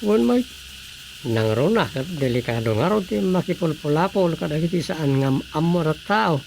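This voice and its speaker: A man's voice: a little high, expressive, normal speed, creaky, a little mellow, slight background noise, rough recording. A man with a Filipino accent acting an easygoing husband in a radio drama. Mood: casual.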